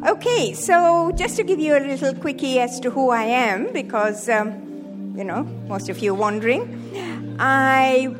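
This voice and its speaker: Sri Lankan woman in her seventies, high voice, playful seminar speaker, faint music behind. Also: a little slow. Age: 70s. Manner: joking, lively, playful asides to the audience. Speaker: seminar speaker, sex therapist. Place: hall with a live audience.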